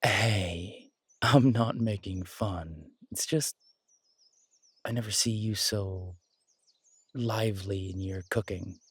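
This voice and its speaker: Man acting a husky, low-voiced werewolf boyfriend. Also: takes it slowly, long pauses, no room sound. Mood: warm, teasing. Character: male werewolf boyfriend, tinkering biker, affectionate, easygoing. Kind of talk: intimate roleplay audio drama.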